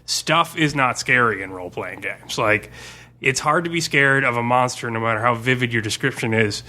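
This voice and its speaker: A man's voice: medium pitch, moderate pace, bright, forceful tone. A man in casual, sweary conversation, explaining things informally as a tabletop game master. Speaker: tabletop game master and podcast guest discussing his campaign. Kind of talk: casual chat.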